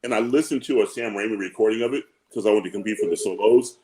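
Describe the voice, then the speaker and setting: Animated, joking man, low voice. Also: quick pace, a crowd in the background, low-quality recording. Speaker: opera singer, bass-baritone. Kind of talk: casual panel chat. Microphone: video call.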